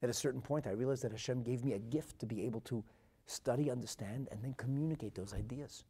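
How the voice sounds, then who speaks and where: A man with a North American accent giving a religious sermon as a rabbi, emphatic and dramatic, phrasing deliberately and repeating for effect. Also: mid-range voice, husky. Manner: emphatic and dramatic, swinging from hushed asides to loud declarations; deliberate phrasing with rhetorical repetition. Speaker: rabbi and Torah teacher.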